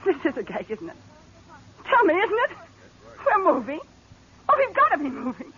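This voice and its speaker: Shrill, high-pitched brassy film star. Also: female voice, wildly swooping pitch, normal speed, noisy background, heavily acted. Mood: frantic, accusing. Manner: slangy, sharp-tongued, demanding. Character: brassy, bossy woman film star turning frantic. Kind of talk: vintage radio horror acting. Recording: dull lo-fi old radio recording.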